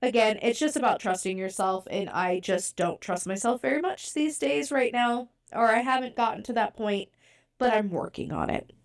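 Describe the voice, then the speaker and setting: A woman's voice: a little high, normal speed. A woman co-hosting a podcast, born in Europe and raised in the United States, talking in a chatty, candid, self-deprecating way. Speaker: podcast co-host. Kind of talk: casual podcast talk.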